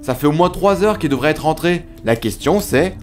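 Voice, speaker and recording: A man's voice: fairly high pitch, expressive, moderate pace, soft background music, lightly acted. A man playing a suspicious, exasperated holidaymaker in an amateur audio drama, blunt and sardonic.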